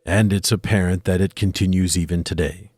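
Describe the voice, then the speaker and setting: A man acts a haunted doctor in a horror audio drama. He is grave and appalled, his voice low, with faint music underneath. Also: steady tone, fairly quick, lightly acted. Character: haunted, horrified male doctor, reluctant lab assistant.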